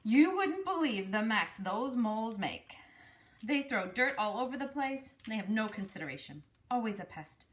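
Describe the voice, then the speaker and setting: A woman's voice: on the high side, big pitch swings, moderate pace. Exasperated storybook rabbit, played by a woman reader, indignant, emphatic, with swinging intonation. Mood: indignant scolding. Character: exasperated rabbit parent fussing about messy moles.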